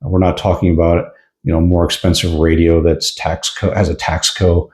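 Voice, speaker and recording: A man's voice: deep voice, little pitch movement, moderate pace, darkish tone. A man giving a casual hands-on product review in an informal, explanatory way.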